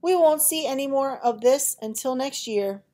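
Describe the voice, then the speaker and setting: A woman's voice: sits high, moderate pace, mellow, voice pushed up, natural voice. A woman voicing a grumpy young rabbit in a storybook read-aloud, complaining and grumbling. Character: grumpy young rabbit who loves winter.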